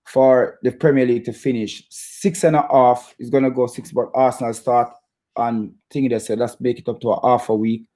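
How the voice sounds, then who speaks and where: Jamaican man, halting casual football chat. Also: mid-range voice, warm tone. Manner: repeats phrases, halting, stop-start delivery. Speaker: football fan channel host. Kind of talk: casual chat to live viewers about football.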